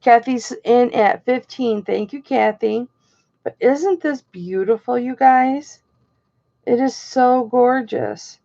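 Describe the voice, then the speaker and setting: Slightly hoarse gravelly lady doing a chatty live auction, slow and kinda high, muffled stream sound. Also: dark tone. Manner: chatty, greets viewers by name.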